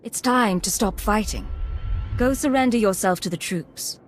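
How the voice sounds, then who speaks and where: An actress plays a female secret agent in a space-fantasy game. Her medium-pitched voice is cool and composed, with short, controlled phrasing. A faint ambience runs behind it. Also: a little slow, slight background noise, close to an everyday voice. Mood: cold, commanding. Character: cool, businesslike female imperial intelligence agent. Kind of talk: video game voice acting.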